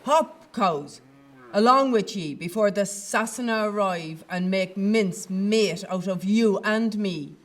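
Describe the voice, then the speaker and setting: An old Irish countrywoman played by an actress in an audio drama, sly, earthy and scornful. She grumbles in colloquial Irish English at an unhurried, slow pace. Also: medium pitch, natural voice. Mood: harried, scolding. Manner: colloquial, sardonic. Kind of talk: audio play acting.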